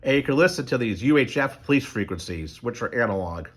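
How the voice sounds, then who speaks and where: A man's voice: medium pitch, lively intonation, quick pace. A middle-aged man talking casually to camera, rambling through an explanation with many ums and restarts. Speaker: channel host.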